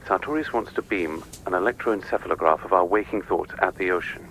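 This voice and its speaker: Radio play colleague: conspiratorial scientist, forceful low voice, clipped delivery, heard through a hissy radio link. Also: male voice, fairly quick, rough recording.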